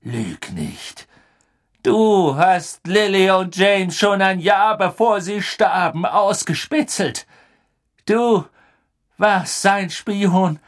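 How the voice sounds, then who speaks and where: A man's voice: medium pitch, slow, creaky, breathy edge, heavily acted. A man reading an audiobook, voicing a grim, bitter man confronting a traitor, in a hard, accusing tone. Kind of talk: audiobook character voice.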